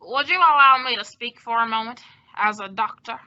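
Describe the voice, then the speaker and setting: Woman playing a slippery pompous fake doctor, high really piercing voice, old radio comedy. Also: moderate pace, sharp tone, lo-fi sound. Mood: smoothly assured. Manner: patronizing, pompous. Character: smooth, scheming phony doctor, condescending and slippery.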